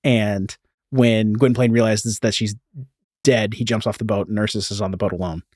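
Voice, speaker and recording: A man's voice: lowish voice, steady tone, moderate pace, a little mellow, dry recording, clean studio sound. A man hosting a podcast, telling a story in a casual, informal way peppered with "like" and "you know.". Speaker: podcast host.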